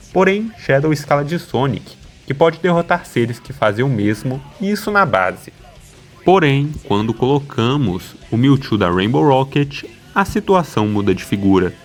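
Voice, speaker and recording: Man, Brazilian accent, scripted narration, medium pitch, analytical video narrator, faint music behind. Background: background music.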